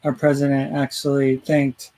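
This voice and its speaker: A man's voice: mid-range voice, monotone, normal speed, dark tone. A man hosting a talk radio show in casual chat, rambling through his points and reading listener comments aloud. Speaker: host.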